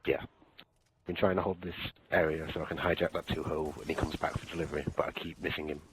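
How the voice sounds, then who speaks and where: A man playing a battle-hardened alien soldier in a sci-fi game audio drama, gruff, terse and wry, with a raspy voice. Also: extremely low pitch, level tone, fast, a little hiss or hum, rough recording. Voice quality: gravelly, raspy. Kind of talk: fan audio drama, game-story character acting.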